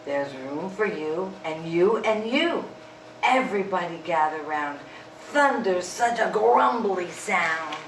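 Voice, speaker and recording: A woman's voice: fairly low pitch, animated, slow pace, far from the mic, slight background noise. Kind little truck, storybook figure, played by a woman, reassuring, echoey room. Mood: welcoming. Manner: warm, welcoming, reassuring.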